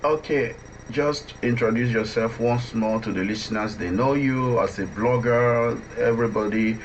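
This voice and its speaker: A man hosting a conversational radio interview, halting and repeating himself as he asks questions. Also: medium pitch. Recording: dull, faintly noisy line.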